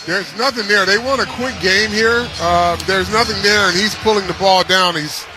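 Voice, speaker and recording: A man's voice: sits high, normal speed. An American man giving live football analysis as a radio color commentator. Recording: loud stadium noise behind radio broadcast. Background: stadium ambience.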